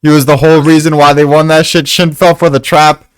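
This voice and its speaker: A man's voice: fairly high pitch, level tone, fairly quick, clean tone, dry recording, clean studio sound. A man co-commentating in a casual manga discussion, with a blunt, sardonic and profane manner.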